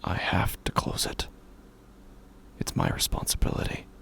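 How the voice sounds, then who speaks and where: An actor playing a grieving, haunted widower who is slowly unraveling, in a halting, brooding horror audio drama monologue with a gravelly, breathy voice. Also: male voice, a bit bright, natural voice. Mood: haunted, resolute. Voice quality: gravelly, breathy, often dropping to a whisper. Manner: halting, brooding, with long pauses.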